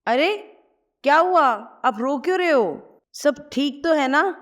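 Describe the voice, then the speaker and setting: A woman voicing a curious, teasing young sister-in-law who pries quickly and playfully. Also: sits high, expressive, pitched above the performer's own voice.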